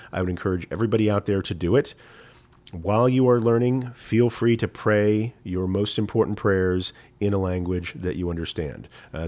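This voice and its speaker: Man, rabbi, low voice, live-stream Q&A, casual teaching tone, loose asides. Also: a little mellow. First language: English. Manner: conversational teaching, frequent "right?" asides and restarts. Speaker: rabbi answering viewer questions.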